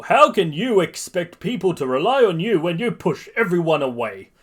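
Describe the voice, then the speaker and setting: Veteran superhero man for storytelling, voiced by a man: booming, grandiose, theatrical, lifted pitch. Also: big pitch swings, moderate pace. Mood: scolding.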